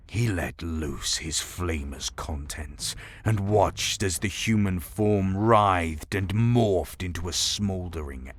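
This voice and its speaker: A man reading dark science fiction as dramatic scripted narration, measured and grave in delivery. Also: low voice. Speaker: story narrator. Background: music.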